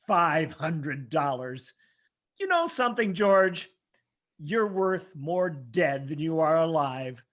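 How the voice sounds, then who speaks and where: A man's voice: fairly high pitch, sing-song, a bit bright, full character voice. A man playing a cold, contemptuous rich old villain in an old-time radio play. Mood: sneering. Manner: slow, contemptuous.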